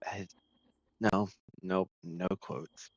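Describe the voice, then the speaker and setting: A man with a lowish, husky voice chats as a tabletop game player. He is unhurried but lively in pitch, with casual dry humor, on a slightly dull recording. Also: dry recording.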